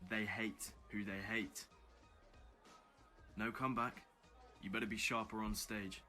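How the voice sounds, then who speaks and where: A man's voice: lowish voice, little pitch movement, measured pace, bright, forceful tone, faint music behind. A British man playing a wary, brusque underground club MC and hardened rebel in an audio drama. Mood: brusque, testing.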